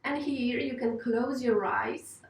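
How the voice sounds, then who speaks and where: A woman teaching yoga and guided meditation, speaking in a gentle, unhurried, reassuring way. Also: on the high side. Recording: roomy, distant microphone.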